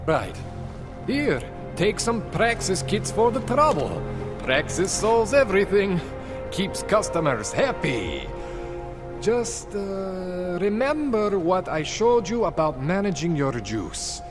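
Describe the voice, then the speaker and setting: Game role: slick, jittery male underground tech. Swooping, animated pitch, wheedling, music behind. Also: slow pace, heavily acted. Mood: uneasy. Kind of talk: video game cutscene acting.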